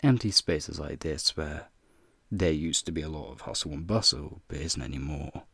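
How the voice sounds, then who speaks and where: A man voicing a flirty, teasing male werewolf in an ASMR roleplay, with a deep, gravelly, husky voice and folksy slang. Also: normal speed, fairly bright tone, no echo, studio quality, exaggerated voice. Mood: ominous, hushed. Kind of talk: ASMR roleplay, speaking to the listener.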